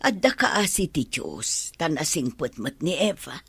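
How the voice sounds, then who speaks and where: A low, husky older mother in a radio drama. She is devout, concerned and consoling, heard in a dry studio recording. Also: very animated, measured pace, fairly bright tone, no room sound, exaggerated voice. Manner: soothing, concerned. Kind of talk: Ilocano radio drama acting.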